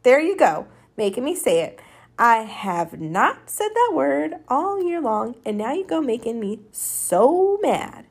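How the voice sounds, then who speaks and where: Storybook woman acting a young girl: indignant, commanding, grumbly, high and very animated. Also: moderate pace, hardly any pauses, breathy edge, exaggerated voice. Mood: indignant, scolding. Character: bossy, furious, scolding young girl.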